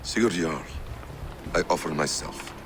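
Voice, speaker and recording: Very deep, grim warrior. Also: male voice, unhurried. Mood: grave, resolute. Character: grim, loyal male warrior. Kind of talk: dramatic video game acting. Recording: noisy game ambience behind.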